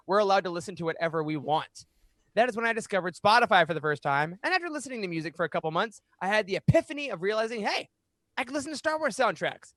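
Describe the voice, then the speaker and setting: A man in his thirties with a notably high voice presents a fan podcast. He speaks emphatically and with animation. The sound is dry and close on a studio mic. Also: fairly bright tone, no echo. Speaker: podcast host. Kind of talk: reading aloud and hosting a fan podcast. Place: small home room, indoors. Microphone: close studio microphone on boom arm.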